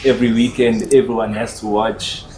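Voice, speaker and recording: A man's voice: fairly low pitch, flat delivery, brisk, darkish tone. A South African man from rural KwaZulu-Natal, casually telling his own story as a guest and switching between two languages. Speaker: podcast guest. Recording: echoey room with noise.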